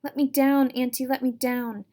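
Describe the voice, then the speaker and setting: A woman puts on an ugly little doll creature come to life. It squeals high and teasing, full of mischief. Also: level tone, moderate pace, warm tone, natural voice. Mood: whining.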